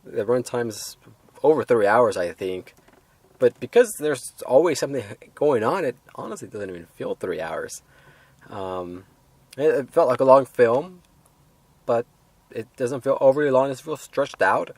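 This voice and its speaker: A man reviewing a film in a casual, unscripted way, halting and rambling as he hedges his points. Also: mid-range voice, animated, no room sound.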